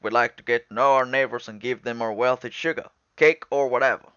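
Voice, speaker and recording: A man plays a patient alien military commander from an animated sci-fi film. He speaks formally and proudly, like a mentor briefing trainees. The recording is very dry and slightly dull. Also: medium pitch, clear, resonant tone, no echo, natural voice. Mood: instructive. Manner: formal, explanatory, measured.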